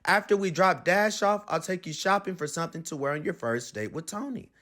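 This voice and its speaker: A man's voice: sits high, brisk, fairly bright tone. A man voicing an upbeat, caring superhero mother in a children's storybook. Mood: cheerful.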